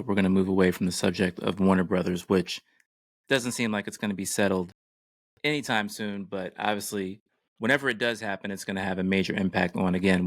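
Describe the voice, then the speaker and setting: A middle-aged man hosting a podcast in a casual, measured way, pausing between phrases. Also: deep voice, no room sound. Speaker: podcast co-host.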